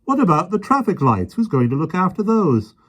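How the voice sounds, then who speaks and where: A fussy, self-important policeman, played by a man raising his voice, sounding indignant and stern. His raised, husky voice jumps in pitch as he complains. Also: very animated, fairly quick. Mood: stern questioning.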